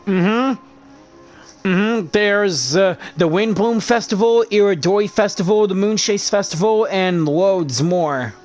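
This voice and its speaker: Casual woman gaming YouTuber, mid-pitched. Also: slow pace, darkish tone. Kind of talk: casual let's play commentary and reading aloud. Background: music.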